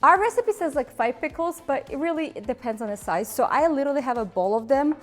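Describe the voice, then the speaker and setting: A woman's voice: sits high, lively intonation, fast, faint music behind. A woman in her thirties from Ukraine with a Ukrainian accent, chattily hosting a cooking demonstration and laughing at her own slips. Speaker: cooking show host.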